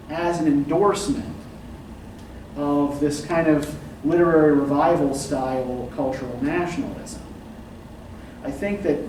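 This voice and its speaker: Male prof lecturing to a class, fairly high voice, picked up from across a roomy classroom with some noise. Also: dark tone. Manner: thoughtful, often adds "right?" and "um". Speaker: literature professor. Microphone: distant room recording. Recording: echoey classroom, distant mic, background noise.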